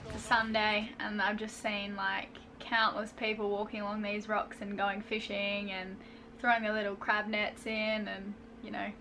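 A woman's voice: mid-range voice, completely flat delivery, moderate pace. A woman, talking casually to a camera held at arm's length. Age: 20s. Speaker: sailing vlog host. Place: boat cabin at a marina. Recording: faint background noise.

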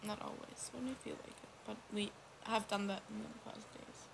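A woman's voice: mid-range voice, fairly even intonation, normal speed, gravelly, noisy background. A young woman, a native German speaker, chatting casually on a livestream in a laid-back way with short replies. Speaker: livestream co-host.

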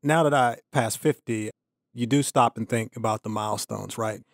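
A man in his fifties from the United States, a podcast guest telling his life story in a reflective, measured way. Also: on the low side, vocal fry, dry recording, studio quality. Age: 50s. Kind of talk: casual chat, personal story.

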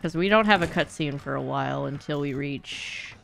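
A woman's voice: deep voice, normal speed, creaky, fairly bright tone, a little hiss or hum. A young adult woman giving casual, blunt, sweary live-stream commentary while speedrunning a game. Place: small indoor streaming room at home.

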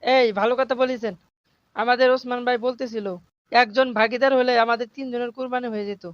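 Acted friendly cartoon neighbour man, quick-talking, curious, dull lo-fi sound. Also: mid-range voice, fairly even intonation, dry recording, close to an everyday voice. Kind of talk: cartoon dialogue.

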